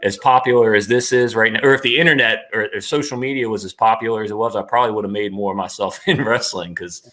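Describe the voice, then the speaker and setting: A middle-aged man with a lowish pitch and a bright, forceful edge to his voice. He talks casually, as a guest in a livestream interview. He is emphatic and tends to repeat a point to press it home. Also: fairly even intonation, quick pace, hardly any pauses. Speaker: interview guest, actor and former professional wrestler.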